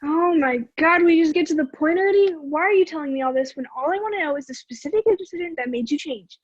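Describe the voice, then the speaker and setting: Tinny, high-pitched blunt young woman acted. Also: fast, lightly acted. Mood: impatient. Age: young adult. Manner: blunt, questioning. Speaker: student actor in a school play. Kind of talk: one-act play acting. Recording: tinny phone-like line.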